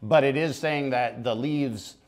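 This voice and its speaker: A man's voice: medium pitch, measured pace. A man hosting a casual tea chat, explaining at length in an easygoing way with many fillers. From United States. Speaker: host.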